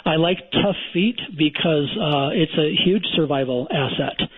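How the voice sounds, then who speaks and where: A man speaks over a thin, tinny phone line, his voice a touch higher and husky. He explains his views bluntly and emphatically, like an instructor lecturing a class. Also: a little slow. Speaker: survival instructor, guest. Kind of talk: phone-in radio interview.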